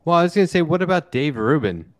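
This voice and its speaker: A man hosting a casual political podcast, talking in a halting, conversational way as he interviews his guests. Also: a little high, very animated, no room sound.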